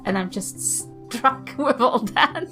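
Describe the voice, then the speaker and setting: An elderly female adventurer in a fantasy tabletop game, acted by a woman, with a lively, swooping delivery. She is doting and folksy, full of curious wonder. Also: on the high side, slow, soft background music, exaggerated voice. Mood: earnest. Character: kindly, curious old granny adventurer, meant to be grumpy.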